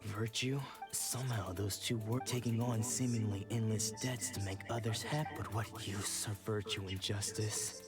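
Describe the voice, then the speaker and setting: A woman voicing a stern, disillusioned sword fighter in a video game, speaking gravely and formally. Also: a bass voice, fairly even intonation, normal speed, hardly any pauses, loud music behind the voice. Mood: brooding.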